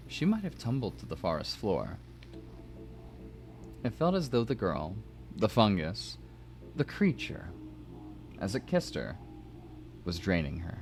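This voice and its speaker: A man narrating prose fiction as a dramatic reading, measured and expressive. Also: fairly low pitch, slight background noise. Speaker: narrator and host of a story stream.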